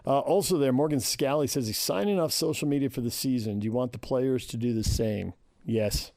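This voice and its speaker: A man's voice: medium pitch, brisk, vocal fry, a little mellow, dry recording. An American man hosting a sports radio show, talking casually and thinking aloud in short phrases.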